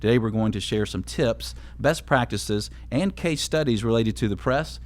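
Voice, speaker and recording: A man's voice: medium pitch, normal speed. A man hosting a radio talk show, upbeat and chatty, who laughs easily with his guests.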